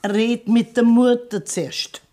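Old Bavarian farm grandmother, blunt, scolding, unhurried, radio drama. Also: fairly high pitch, fairly even intonation, dark tone. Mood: worried, reproachful. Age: older. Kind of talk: radio drama acting in dialect.

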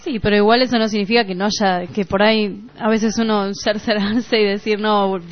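A woman's voice: mid-range voice, steady tone, normal speed. Argentine woman co-hosting, mid-range voice. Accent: Rioplatense Argentine Spanish. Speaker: radio co-host. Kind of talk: casual radio discussion. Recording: dull lo-fi radio recording, music behind. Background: music.

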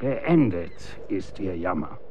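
An actor in a German radio drama playing a stern, grave executioner instructing his son in commanding, archaic, measured diction. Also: sits low, big pitch swings, a little mellow, slight background noise, full character voice.

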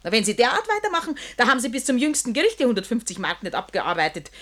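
Bossy southern German shopkeeper, highish voice. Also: female voice. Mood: scolding. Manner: effusive flattery turning to brisk scolding. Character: stout, brisk, bossy female corset-shop owner. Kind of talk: radio play acting.

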